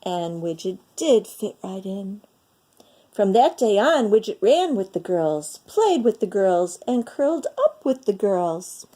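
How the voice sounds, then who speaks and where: A woman reading a picture book aloud in an expressive, dramatic storytelling manner for children. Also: medium pitch, takes it slowly.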